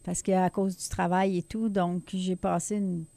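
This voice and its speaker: A woman's voice: lowish voice, flat delivery, unhurried, vocal fry, warm tone, no room sound. A middle-aged woman with a slight Québécois French accent, a radio guest who hesitates, uses many fillers and laughs often. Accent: slight Québécois French with a light foreign tinge. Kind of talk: casual radio interview. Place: radio studio.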